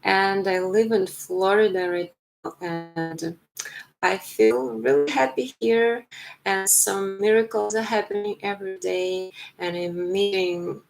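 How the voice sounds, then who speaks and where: A Ukrainian woman in her forties speaks slowly and sincerely, with many fillers and restarts. Her voice has a gravelly, creaky edge. She pauses often as she searches for her words. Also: mid-range voice, low-quality recording. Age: 40s. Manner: slow, earnest, halting with many fillers and restarts. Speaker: writer streaming to her channel. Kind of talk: casual live stream monologue.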